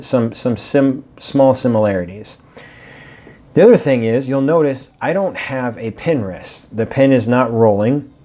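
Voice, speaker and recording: A man giving a casual, rambling review of a fountain pen, his voice a bit raspy from allergies. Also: mid-range voice, darkish tone. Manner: chatty, rambling, with fillers and restarts.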